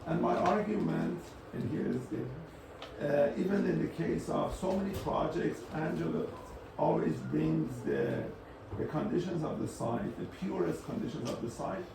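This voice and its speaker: A man thinking aloud in an unscripted academic architecture discussion, halting and often rephrasing as he moderates. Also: a little high. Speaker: discussion moderator responding to speakers. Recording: roomy, distant microphone, clear background noise.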